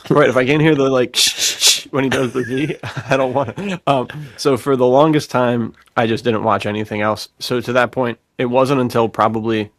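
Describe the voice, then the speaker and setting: A man's voice: mid-range voice, fairly even intonation, moderate pace, a bit bright, dry recording, studio quality. A man chatting casually on a podcast in a slangy, enthusiastic way, prone to chuckling. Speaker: podcast co-host.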